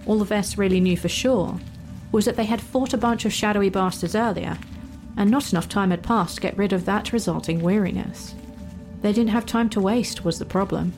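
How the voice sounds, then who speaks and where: A woman narrates a fantasy audio story. Her voice sits at an ordinary pitch and her delivery is measured and wry. Music plays behind her. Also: darkish tone. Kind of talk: dramatic fan fiction narration.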